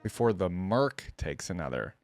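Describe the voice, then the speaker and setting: Low-voiced man giving casual reaction commentary. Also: very animated, moderate pace, soft background music. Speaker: reaction video creator and commentator.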